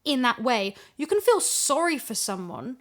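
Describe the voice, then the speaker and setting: A woman with a voice on the high side talks in a relaxed discussion. She works through her ideas aloud, thoughtful and emphatic about her points. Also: moderate pace. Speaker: audio drama voice actress. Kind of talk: casual discussion chat.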